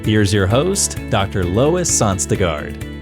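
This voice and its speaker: Male announcer, animated scripted read, guitar bed clearly audible. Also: lowish voice, slow. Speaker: show announcer. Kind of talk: scripted intro over music. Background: guitar music.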